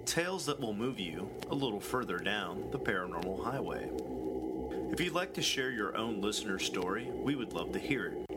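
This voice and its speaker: A man reading a scripted podcast outro in a measured, formal announcer manner. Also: fairly low pitch. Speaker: show announcer. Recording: loud background noise.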